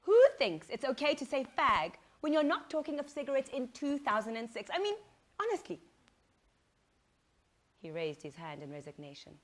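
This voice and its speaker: A woman giving an expressive, dramatic first-person reading of a short story, acting out the dialogue. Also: high-pitched, moderate pace.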